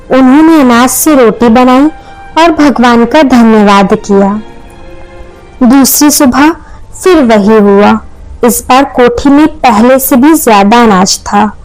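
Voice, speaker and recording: A woman's voice: on the high side, slow, darkish tone, soft background music, poor audio. A woman narrating a scripted moral story as a storyteller.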